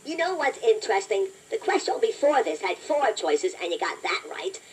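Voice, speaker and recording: A woman voicing a chatty, playful toy robot that hosts a children's quiz, encouraging the listener in a deliberate, self-deprecating way. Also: a little high, big pitch swings, music in the background. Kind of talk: scripted children's quiz narration. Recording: noisy toy cassette playback, distant from mic.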